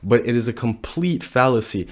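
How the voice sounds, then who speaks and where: A young man, a little sick and deeper-voiced than usual, giving blunt, animated dating commentary full of slang. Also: normal speed. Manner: blunt, animated, slangy, says bro a lot. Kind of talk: casual commentary to camera.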